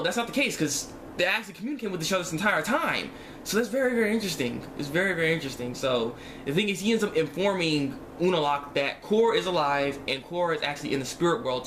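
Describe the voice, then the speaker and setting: Higher-voiced man chatting through a review. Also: a bit bright, slight background noise. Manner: repetitive, slangy, acts out remarks in reaction. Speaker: reviewer of an animated series episode. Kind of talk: casual spoken review, informal chat to viewers.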